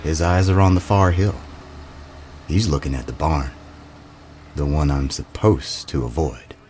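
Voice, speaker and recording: A man narrating a scripted first-person story in a dramatic, brooding, deliberate manner. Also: rumbling low, darkish tone, a little hiss or hum.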